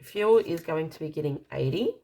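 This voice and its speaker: An Australian woman with a slightly croaky, dry voice, casually narrating as she counts out cash in an unhurried way. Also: low-pitched, expressive, dark tone. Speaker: budgeting vlogger.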